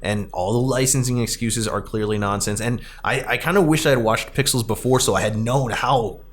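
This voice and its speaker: A man's voice: medium pitch, fairly quick. Male podcast host, mid-range voice, opinionated, loose rambling conversational delivery about films. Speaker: podcast host, arcade president. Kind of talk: casual film discussion.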